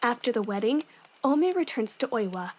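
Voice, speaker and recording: A woman's voice: fairly high pitch, very animated, moderate pace, darkish tone. A woman playing a composed, dry and sensible young demon slayer in a fan audio drama. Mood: ominous storytelling. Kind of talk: fan audio drama acting, campfire storytelling.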